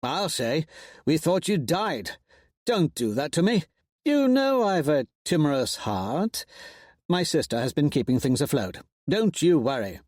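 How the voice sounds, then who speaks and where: A man's voice: fairly high pitch, very animated, a little slow, a little mellow, dry recording, studio quality, voice pushed up. A man voicing a flamboyant nobleman with flowery, pompous speech. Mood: fond. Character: theatrical nobleman, flamboyant and fretful.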